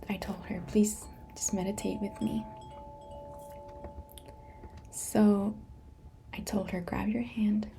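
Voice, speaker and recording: A woman who whispers almost every word, unhurried and soft. Her tone is mellow and dark. A faint hiss of room ambience sits under her voice. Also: mid-range voice, creaky. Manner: soft unvoiced whisper throughout, slow and gentle. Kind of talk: whispered meditation talk.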